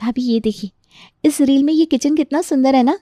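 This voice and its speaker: A woman's voice: high-pitched, fairly quick, dark tone, dry recording, pitched above the performer's own voice, natural voice. A woman puts on a young housewife for a cartoon family tale. She is chatty and grumbling, keen on what is trendy, and sounds close and dry. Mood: eager. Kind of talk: animated Hindi family story dialogue.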